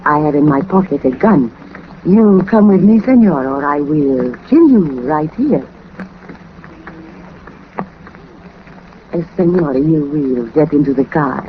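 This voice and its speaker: A Mexican femme fatale speaks accented English with swooping, animated pitch. She is polite yet menacing and insistent, heard through a thin, tinny old 1940s radio recording. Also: normal speed, slight background noise. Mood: threatening. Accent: Mexican Spanish. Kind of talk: 1940s radio drama acting.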